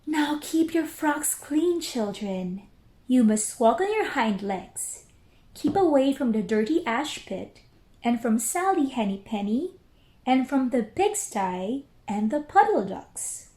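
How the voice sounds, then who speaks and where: A woman's voice: sits high, sing-song, slow pace, darkish tone, exaggerated voice. A woman voicing a prim, exasperated mother cat from a storybook, stern and proper with her kittens. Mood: firm, instructing. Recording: roomy echo.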